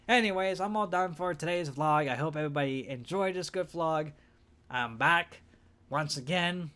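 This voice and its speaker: Young male gaming vlogger, slightly high voice, hoarse and congested, rambling and unsure of himself. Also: fairly bright tone. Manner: rambling, hesitant, self-conscious. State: hoarse, stuffed-up nose. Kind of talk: casual monthly vlog.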